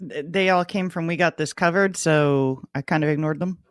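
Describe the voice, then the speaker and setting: A woman's voice: low voice, normal speed, no echo, clean studio sound. A woman co-hosting a podcast, chatting casually with other panelists. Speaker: podcast co-host. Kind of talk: casual panel chat about a TV trailer.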